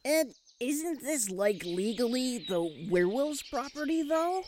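A woman's voice: on the high side, sing-song, unhurried, darkish tone, a little hiss or hum. A woman playing a young, agreeable, slightly dim henchman in a comic audio drama, slangy and hesitant. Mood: timid doubt. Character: young, dim, agreeable male sidekick henchman, timidly questioning.

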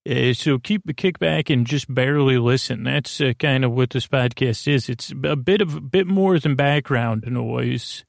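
Creaky-voiced man rambling soothingly at bedtime. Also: mid-range voice, a little slow, few pauses, dry recording, studio quality. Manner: lulling, soothing, meandering with tangents. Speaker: podcast host. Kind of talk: rambling bedtime sleep-podcast monologue. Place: small closet.

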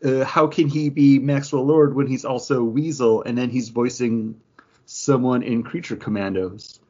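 A man's voice: mid-range voice, fairly even intonation, normal speed, a little mellow, dull audio. A man hosting a podcast, chatting casually and jokingly about pop culture. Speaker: podcast host.

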